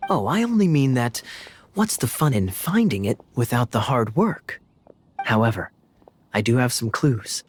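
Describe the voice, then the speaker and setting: A man voicing a suave young knight in a video game, smooth and teasing in manner. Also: mid-range voice, animated, unhurried, a little hiss or hum, close to an everyday voice.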